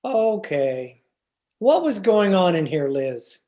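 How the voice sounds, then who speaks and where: A man's voice: high-pitched, very animated, slow pace, warm tone, full character voice. A man playing an earnest, worried husband, hesitant and exasperated, in old-time radio comedy style. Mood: puzzled, probing.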